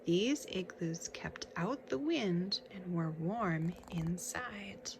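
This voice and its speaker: Woman reading a kids book out loud, slow n instructive, points stuff out, noisy background. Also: lowish voice, expressive. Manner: instructive, pausing to point out pictures. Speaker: children's picture book reader. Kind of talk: read-aloud for young children with casual asides and questions.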